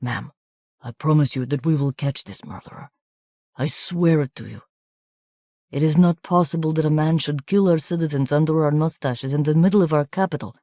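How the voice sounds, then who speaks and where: Stiff, deferential police officer in an audiobook, read by a woman, a touch lower, dry studio sound. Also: normal speed, mellow, dry recording. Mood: formal. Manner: stiff, formal speech.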